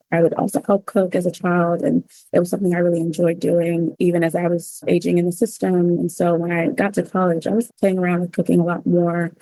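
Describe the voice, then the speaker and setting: A woman from Cameroon who moved to the United States, telling her own story as an interview guest in a reflective, informal, pausing way. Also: on the low side, creaky, warm tone, no room sound, rough recording. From Cameroon, then the United States. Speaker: interview guest, cookbook author. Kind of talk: personal storytelling in a conversational interview.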